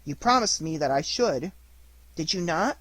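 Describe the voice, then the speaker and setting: A reader voicing an eager, excitable wooden puppet boy in a storybook reading, with a slightly husky tone. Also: medium pitch, unhurried, no room sound. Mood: earnest. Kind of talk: audiobook character reading.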